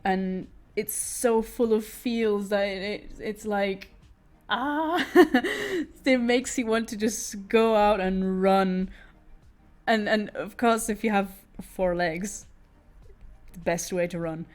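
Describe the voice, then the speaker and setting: A woman's voice: medium pitch, slow pace. Giggly British-accented woman chatting. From Italy. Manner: chatty, giggly, restarts often. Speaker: streamer. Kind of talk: casual chat while drawing.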